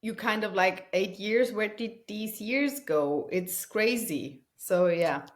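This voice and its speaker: A woman's voice: medium pitch, slow. German-born woman in her thirties, chatty and eager, lots of ums and restarts. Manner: chatty, frequent fillers and restarts, enthusiastic. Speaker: guest, company founder. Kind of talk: casual conversational interview.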